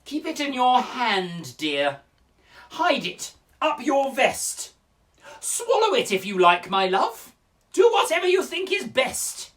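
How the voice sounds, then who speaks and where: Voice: sits high, very animated, takes it slowly, fairly bright tone, far from the mic, voice pushed up, heavily acted. A performer voicing an exasperated female schoolteacher, sweetly dismissive, with singsong endearments and weary impatience. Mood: brisk, dismissive.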